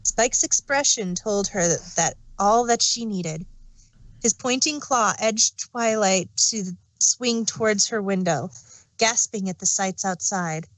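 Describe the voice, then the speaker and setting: A woman's voice: medium pitch, a little slow, fairly bright tone, no room sound. A woman reading fan fiction aloud as an amateur storyteller and narrator.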